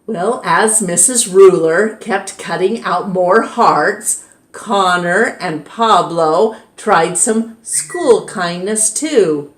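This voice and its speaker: Storytime host, woman, slow and animated, roomy sound. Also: on the low side. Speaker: storytime reader. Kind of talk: reading a picture book aloud. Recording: roomy echo.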